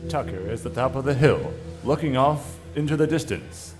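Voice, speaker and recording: A man's voice: medium pitch, lively intonation, normal speed, faint music behind. A man reading stage directions as the narrator of a scripted table read.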